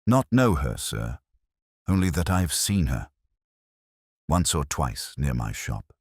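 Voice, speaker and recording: A man plays an anxious young draper from a Victorian audiobook mystery. He is deferential and plain-spoken, with a very low voice that rises and falls. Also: sing-song, takes it slowly, darkish tone, husky, no echo, clean studio sound, heavily acted. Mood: uneasy. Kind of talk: audiobook detective story.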